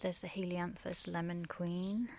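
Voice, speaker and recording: A woman from the UK, croaky from just waking up, giving a quiet, half-whispered tour of her back garden in the early morning. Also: lowish voice, little pitch movement, unhurried. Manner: hushed, half-whispered talk. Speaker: gardening vlogger. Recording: noisy outdoor recording with birdsong.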